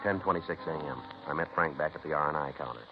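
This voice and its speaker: An American man playing a terse, matter-of-fact police detective in a 1950s radio drama, with a clipped, deadpan, businesslike delivery. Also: rumbling low, fast, music in the background. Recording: noisy, muffled 1950s radio recording.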